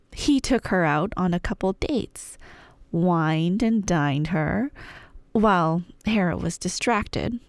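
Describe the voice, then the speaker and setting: A woman tells a story as a chatty, playful girlfriend in a casual roleplay. She takes an unhurried pace and keeps a wry, irreverent, conversational tone. The sound is dry, with a medium pitch. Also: no room sound. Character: chatty, playful, nerdy girlfriend telling myths. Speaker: audio roleplay performer playing a girlfriend. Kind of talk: casual rambling storytelling, scripted ASMR roleplay.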